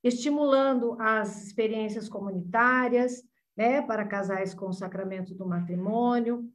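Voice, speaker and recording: A woman's voice: on the high side, normal speed. A woman in her sixties answering earnestly as a podcast guest. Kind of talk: podcast interview.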